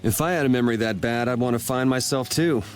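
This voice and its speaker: A man plays a cool young rogue in a video game. He is sardonic and laid-back, with dry delivery at a medium pitch. Music runs faintly behind. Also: fast talker, natural voice. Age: young adult.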